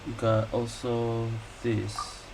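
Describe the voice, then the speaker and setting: A man giving casual, halting gameplay commentary, mumbling short reactions and reading things off the screen. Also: medium pitch, completely flat delivery, gravelly. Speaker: gaming video maker playing along. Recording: very noisy home gameplay microphone.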